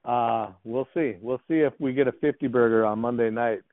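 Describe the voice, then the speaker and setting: A man's voice: medium pitch, normal speed, no echo. Joking male co-host on a phone line. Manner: joking, banter. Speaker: podcast co-host. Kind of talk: casual sports podcast chat. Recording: tinny phone-like dry sound.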